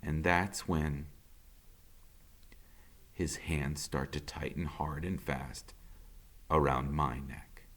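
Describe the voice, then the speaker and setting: A man reading a novel aloud as a dramatic first-person narration, expressive and suspenseful. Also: extremely low pitch, slow pace, long pauses.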